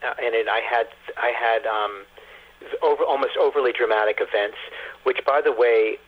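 A man's voice: mid-range voice, moderate pace. A man giving conversational interview answers as a guest over the telephone, often repeating words as he explains. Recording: muffled narrow phone line.

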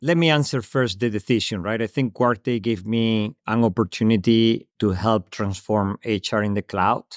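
A man's voice: lowish voice, normal speed, hardly any pauses, no room sound, studio quality. A man from Spain speaking English with a Spanish accent, a guest giving thoughtful, structured answers in a podcast interview. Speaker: guest executive.

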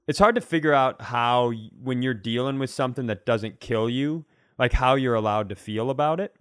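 Man in animated, rambling podcast banter. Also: medium pitch, dry recording, clean studio sound. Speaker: comedy podcast co-host. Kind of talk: casual comedic conversation.

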